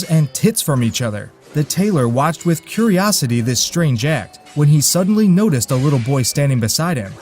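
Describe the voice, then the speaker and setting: A man's voice: fairly high pitch, darkish tone. A man narrating a folk tale in a dry, wry way, with asides to his listeners. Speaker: storyteller.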